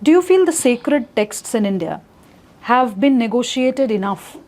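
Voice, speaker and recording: A woman interviewer with a fairly high voice. She asks her questions hesitantly and fills the pauses with "um" and "uh". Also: lively intonation, a little mellow. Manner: hesitant, many fillers.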